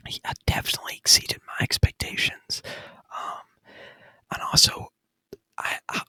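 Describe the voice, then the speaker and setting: A man speaking in a breathy, unvoiced whisper close to the microphone, chatting in an informal, rambling, enthusiastic ASMR review style. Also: mid-range voice, pushed and bright, dry recording, rough recording. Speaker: ASMR video creator and gamer. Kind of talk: whispered ASMR movie review, casual chat. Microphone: close microphone, dry sound.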